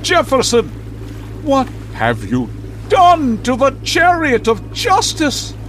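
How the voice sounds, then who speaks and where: An actor playing a pompous, theatrical vigilante superhero in old radio serial style, declaiming grandly and easily outraged. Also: male voice, very high voice, huge pitch swings, slow pace, a bit bright, slight background noise, exaggerated voice. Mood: indignant. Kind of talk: 1940s radio serial acting.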